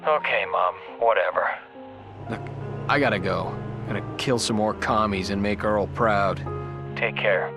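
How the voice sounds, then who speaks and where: An actor playing a guilt-ridden young American soldier, halting and subdued, in a video game drama. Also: male voice, fairly low pitch, music in the background. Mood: bitter.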